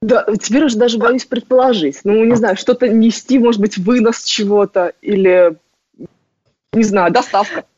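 A podcast host interviewing a guest and relaying listener questions with relaxed curiosity. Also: mid-range voice, normal speed, no echo. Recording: dry, muffled lo-fi audio.

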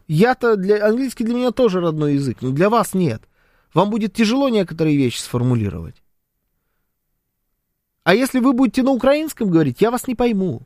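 Casting: male commentator, somewhat high voice, lively and sarcastic, dry close sound. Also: no room sound. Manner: animated, sarcastic, talks with hesitations. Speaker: commentator reading viewer comments. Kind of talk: casual political commentary.

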